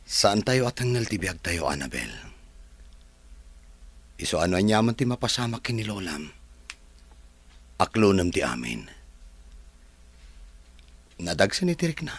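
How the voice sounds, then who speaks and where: A man playing a philosophical, comforting companion in a radio play. His voice is very low and breathy-rough, with lively intonation. He sounds earnest and soothing. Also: a little slow, long pauses, no echo, exaggerated voice. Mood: consoling, solemn. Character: gentle, consoling adult male confidant, philosophical. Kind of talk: Ilocano radio drama acting.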